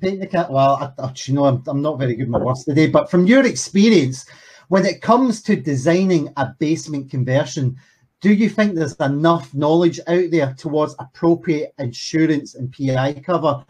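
A man's voice: on the high side, darkish tone, husky. A man hosting a webinar over a video call, reading out audience questions with lots of ums and hesitations. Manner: hesitant, filler-heavy, reads questions slowly.

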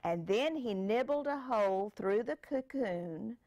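An older woman reading a picture book aloud to a child, deliberate and pausing for the child to join in. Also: fairly high pitch, lively intonation, crowd noise. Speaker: storyteller grandmother reading to a child. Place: screened porch.

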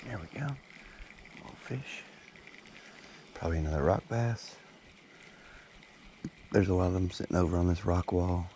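A man narrating his own kayak fishing in quiet, muttered running comments, recorded outdoors on a river with a head-mounted action camera. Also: low voice, normal speed, pauses often, a little hiss or hum. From Tennessee. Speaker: fishing guide.

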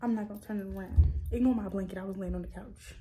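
A woman's voice: medium pitch, steady tone, fast, warm tone, slight background noise. Chatty young woman rambling to camera. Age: young adult. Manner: rambling, self-correcting, chatty with viewers. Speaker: vlogger. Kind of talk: casual chat to camera. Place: small room at home, indoors.

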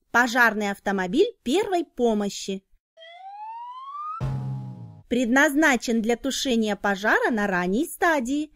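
A woman reads scripted educational narration for children, explaining in short, clearly enunciated phrases. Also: on the high side, slight background noise.

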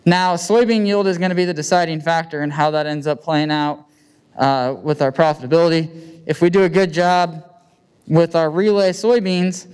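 A man's voice: on the high side, darkish tone, clear, resonant tone. A man with a Midwestern American accent giving an informal, plain-spoken presentation as a farmer, speaking into a handheld microphone. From United States. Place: indoor meeting room with seated audience.

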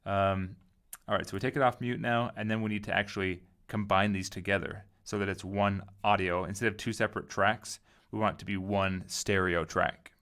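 A man giving a casual software tutorial, informally thinking aloud as he goes. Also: deep voice, fairly even intonation, normal speed. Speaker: tutorial host.

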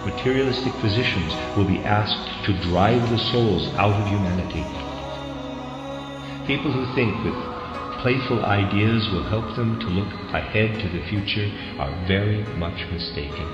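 A man reading a lecture text aloud in a solemn, deliberate manner. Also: lowish voice. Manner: deliberate, spells out quotation marks. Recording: dull, echoey hall reverb, music behind.

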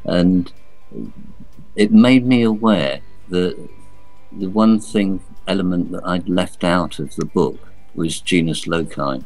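Very deep-voiced hesitant man. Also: takes it slowly, a little mellow, faint music behind, poor audio. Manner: hesitant, repeats words, thoughtful. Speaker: guest author. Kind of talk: interview answers.

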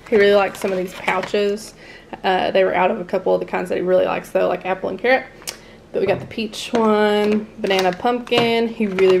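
A woman's voice: mid-range voice, gravelly, a little hiss or hum. A woman, chatting casually through a grocery haul at home, rambling and laughing often. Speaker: family vlogger. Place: home dining room, indoors.

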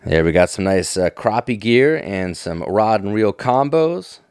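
A man's voice: fairly low pitch, lively intonation, a little slow, a little mellow, clean tone, dry recording. A middle-aged American man giving casual, off-the-cuff commentary in a folksy, enthusiastic, nostalgic manner. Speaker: fishing tackle vlogger.

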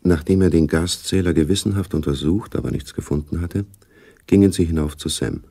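A man narrating a German radio play as a sober, observant storyteller, with a dark, husky, gravelly voice. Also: rumbling low, brisk, full character voice.

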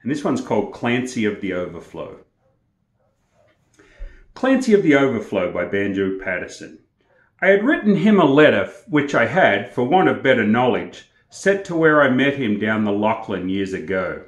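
A man's voice: medium pitch, big pitch swings, moderate pace. An Australian man in his sixties hosting a storytelling show, reciting and chatting to camera. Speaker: host and storyteller. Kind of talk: poetry recitation and casual talk to camera. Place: small indoor room with plain walls, echoey. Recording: echoey indoor room.

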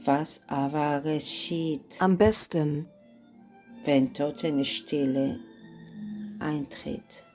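A woman student with a Galician accent reciting a poem slowly and solemnly, with faint music behind. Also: low-pitched, fairly even intonation, darkish tone. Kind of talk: poetry recitation in two alternating voices.

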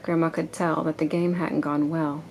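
A woman reading a children's picture book aloud to a child in an expressive storytelling way. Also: deep voice, normal speed, creaky. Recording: noisy amateur home recording.

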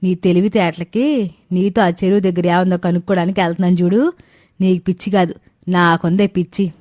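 A woman's voice: fairly low pitch, steady tone, brisk, darkish tone, no echo, clean studio sound, natural voice. Woman playing a grumbling older village mother-in-law. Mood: sarcastic scolding. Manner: scolding, rustic colloquial speech. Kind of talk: animated Telugu moral story dialogue.

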